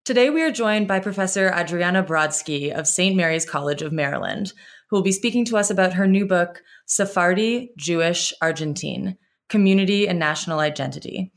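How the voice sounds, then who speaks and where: Woman podcast host, lower-pitched voice, measured and clear. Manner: measured, welcoming introductions. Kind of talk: podcast interview.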